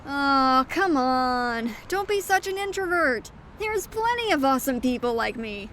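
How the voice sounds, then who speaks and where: A woman's voice: high voice, lively intonation, a little slow, fairly bright tone, a little hiss or hum. A woman voicing a spunky, friendly and teasing young goat girl in an audio drama, perky and chatty. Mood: playful.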